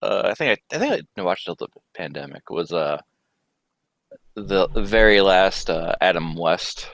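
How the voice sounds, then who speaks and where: A man's voice: low voice, expressive, measured pace, creaky, fairly bright tone, no room sound. A young adult man chatting informally with friends, with the odd mild swear. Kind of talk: casual chat among friends.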